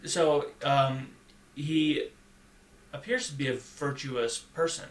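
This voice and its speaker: In an echoey room with faint noise behind him, a man talks through his ideas as a podcast panelist. He has a mid-range voice and picks his phrases in a halting, measured way. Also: medium pitch, fairly even intonation. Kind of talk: conversational discussion.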